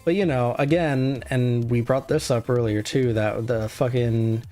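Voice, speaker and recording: A man's voice: medium pitch, fairly even intonation, measured pace, dark tone, soft background music. A man hosting a casual stream chat, thoughtful and rambling with many restarts. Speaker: streamer host.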